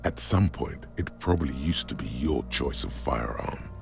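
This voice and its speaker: A man reading measured, ominous second-person narration for a video game. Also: rumbling low, lively intonation, gravelly, over music.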